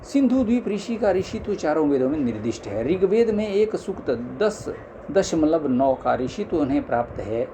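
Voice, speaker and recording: A man with a somewhat higher-pitched voice reads scholarly scripture aloud in a formal way. It sounds like a homemade audiobook, with clear noise behind the voice. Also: very animated, normal speed, warm tone, low-quality recording. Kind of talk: reading scholarly religious text aloud.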